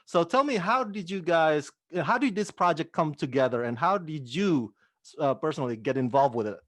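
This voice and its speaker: A man interviewing an actress, asking relaxed, friendly questions. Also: fairly high pitch, normal speed, no room sound.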